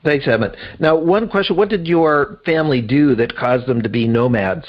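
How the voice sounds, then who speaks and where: A man's voice: mid-range voice, normal speed. Man, podcast host, chatty interview style, kinda dull lo-fi sound. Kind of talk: conversational interview.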